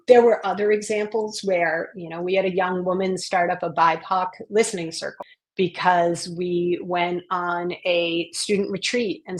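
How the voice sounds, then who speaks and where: Earnest woman telling school stories. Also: fairly low pitch. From Maine, US. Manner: earnest, reflective, animated when telling stories. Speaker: guest, education consultant and author. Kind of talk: podcast interview storytelling.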